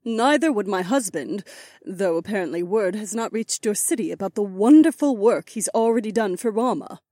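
Woman acting a gentle, poised princess. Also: mid-range voice, expressive, moderate pace, no echo, studio quality, natural voice. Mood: composed, assured. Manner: gentle, composed. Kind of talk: dramatised fantasy audiobook dialogue.

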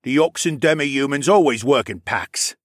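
A man's voice: mid-range voice, moderate pace, dry recording, clean studio sound, voice pushed up, close to an everyday voice. A man voicing an earnest courtier in an audiobook, formal and deferential yet insistent as he pleads before royalty. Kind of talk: audiobook character acting.

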